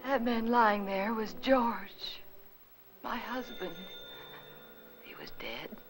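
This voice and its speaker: An actress playing a frightened, earnest housewife with a breathless, dramatic delivery in 1950s Hollywood film style. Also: female voice, on the high side, animated, slow, background noise. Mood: haunted, anxious. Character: anxious, pleading, frightened young housewife. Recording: vintage 1950s film soundtrack with music.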